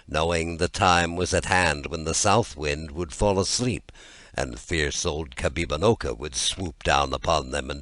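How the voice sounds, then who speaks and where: A man reading a scripted fairy tale as an audiobook narrator, in a measured and expressive storytelling manner. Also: deep voice, no room sound.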